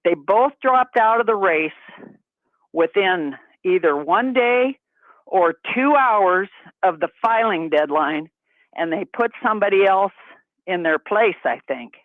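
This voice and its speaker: A woman's voice: mid-range voice, animated, measured pace, no room sound. A woman from Montana calling in to a talk show, chatty and folksy. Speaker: caller. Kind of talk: phone call. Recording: tinny phone line.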